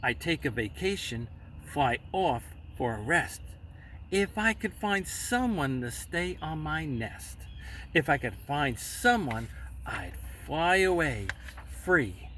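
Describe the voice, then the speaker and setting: A man's voice: fairly high pitch, sing-song, takes it slowly, a bit bright, a little hiss or hum, full character voice. A man voicing a lazy, selfish female storybook bird who coaxes in a sing-song manner. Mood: wistful.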